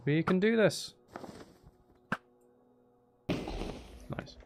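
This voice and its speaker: A man doing live, casual video game commentary as a streamer, animated and given to exclaiming and playful complaints. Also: high-pitched, extremely slow, background noise.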